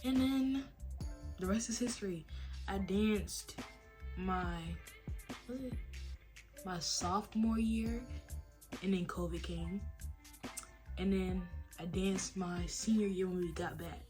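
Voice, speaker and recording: A woman's voice: mid-range voice, slow pace, long pauses, faint music behind. A young adult woman, chatting casually and telling stories in a late-night Q&A at home. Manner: chatty, animated storytelling with lots of "like" and "y'all". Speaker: vlogger. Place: at home, face mask on.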